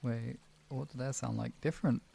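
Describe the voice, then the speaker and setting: Gravelly, mellow-voiced man chatting on stream. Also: medium pitch, steady tone, normal speed, a little hiss or hum. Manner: informal, rambling, trailing off mid-thought. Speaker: live stream host and relaxation guide. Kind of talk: casual, improvised live-stream chat with viewers.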